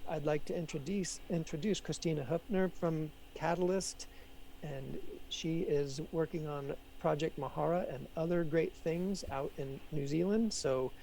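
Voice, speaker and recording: A man's voice: a little high, measured pace, gravelly, mellow, a little hiss or hum, poor audio. A man moderating an online conference session over a video call, welcoming the audience and running logistics with frequent fillers.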